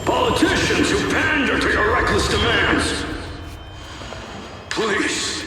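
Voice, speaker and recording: An actor playing a bitter, defiant crusader in a video game, delivering intense, accusing speech. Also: male voice, sits high, lively intonation, takes it slowly. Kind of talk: dramatic video game acting. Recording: very echoey, distant, loud music behind.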